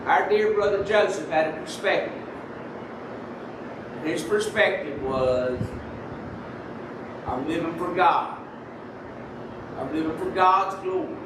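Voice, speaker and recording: Guy preaching softly in a big echoey church, high voice, kinda far from the mic, bit of noise. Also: takes it slowly, long pauses. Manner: soft-spoken preaching, holds his voice down on the mic. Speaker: lay preacher. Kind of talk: sermon. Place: church sanctuary. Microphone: microphone.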